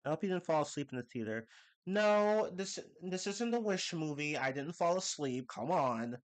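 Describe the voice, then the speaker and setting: A man's voice: on the high side, lively intonation. Rambling congested young man streaming. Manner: rambling, restarts and laughs, quick bursts. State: slightly congested from a cold. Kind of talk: casual live-stream chat.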